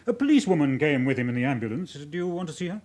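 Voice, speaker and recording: An actor playing a reserved British hospital registrar in a radio drama, formal, measured and clinical. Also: male voice, a little high, sing-song, darkish tone.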